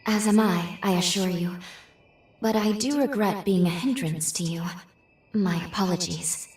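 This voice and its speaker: A woman voicing a gentle, earnest young time traveler in a video game, with formal, polite, measured phrasing. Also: lowish voice, reverberant, recorded from a distance, natural voice. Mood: calm, earnest. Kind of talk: scripted video game cutscene acting.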